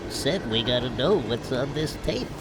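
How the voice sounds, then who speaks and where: A man's voice: mid-range voice, animated, measured pace, heavy background noise. A man voicing an earnest, solemn alien hero, an escaped slave, in a video game cutscene. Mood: solemn, troubled.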